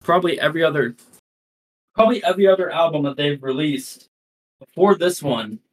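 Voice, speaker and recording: A man's voice: on the high side, lively intonation, fairly quick, poor audio. A man co-hosting a casual music podcast, talking in a rambling, hesitant way with many restarts. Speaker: podcast co-host. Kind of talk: casual chat.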